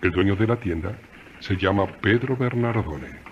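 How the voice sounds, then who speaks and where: A man's voice: deep voice, normal speed, dull audio, noisy background. A man narrating a radio drama as a storyteller, folksy and chatty, speaking directly to listeners.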